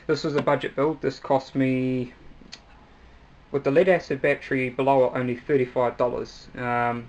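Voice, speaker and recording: A young New Zealand man talks through a casual hobby demonstration at a medium pitch. His delivery is halting and hesitant, broken by pauses. It sounds like an amateur recording made in a room at home, with faint noise behind the voice. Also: rough recording. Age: young adult. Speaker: hobbyist video maker. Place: small cluttered room at home, indoors.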